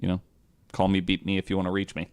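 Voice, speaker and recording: Male podcast host, lowish voice, informal, jokey, sweary chat. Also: monotone, fast, darkish tone, smooth.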